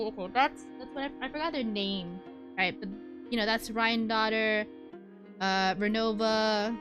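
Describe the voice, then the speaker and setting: Casting: female game streamer, higher-pitched, playfully sarcastic, offhand commentary over prominent, loud music. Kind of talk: casual gaming commentary.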